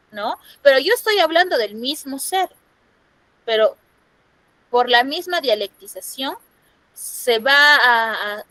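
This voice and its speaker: This is a Peruvian woman teaching a class over a video call. Her voice sits fairly high and sounds close and dry, with no room around it. She explains patiently and keeps asking her students if they follow. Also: a bit bright, no room sound, rough recording. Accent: Peruvian Spanish. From Peru. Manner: explanatory, checks in with frequent "¿no?". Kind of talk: online class lecture. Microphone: computer microphone on a video call.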